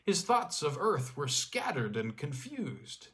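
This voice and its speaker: A man's voice: on the high side, big pitch swings, slow, pushed and bright. Man narrating a literary tale dramatically. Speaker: storyteller, audiobook reader.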